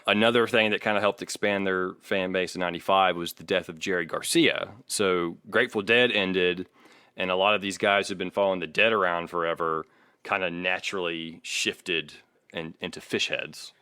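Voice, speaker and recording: A man's voice: low voice, steady tone, moderate pace, bright, forceful tone, clean tone, dry recording, clean studio sound. A man co-hosting a podcast, narrating music history casually and informally with an amused streak. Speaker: podcast co-host.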